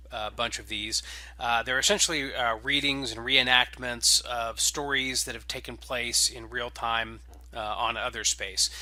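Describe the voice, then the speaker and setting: A man's voice: medium pitch, bright, forceful tone, no echo. A man with an informal, enthusiastic manner, hosting and reading stories aloud. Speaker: game writer and host introducing and reading stories. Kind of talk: casual podcast intro, then dramatic scripted narration.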